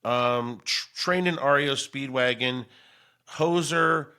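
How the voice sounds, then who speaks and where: Bright-voiced man bantering casually. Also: mid-range voice, takes it slowly. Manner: jokey, exclamatory. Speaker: podcast host.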